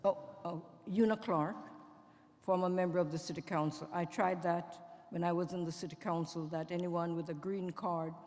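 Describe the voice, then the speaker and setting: A woman commissioner speaking at a public hearing in a conversational, slightly halting way. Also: lowish voice, steady tone, darkish tone. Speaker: commissioner, former city council member. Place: public hearing in a school building. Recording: long reverb of a hearing hall.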